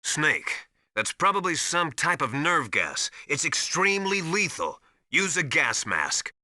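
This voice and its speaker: A very bright, close and dry male voice with lively intonation. He is a seasoned military survival mentor in a video game, giving practical, encouraging briefings in a matter-of-fact way. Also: a little high, measured pace, no room sound, full character voice. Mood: serious.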